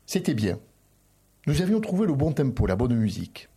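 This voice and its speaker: Husky man host reading aloud. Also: mid-range voice, big pitch swings, moderate pace, dark tone. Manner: reads passages aloud, asks long questions. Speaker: interviewer, host. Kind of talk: interview, reading book passages aloud.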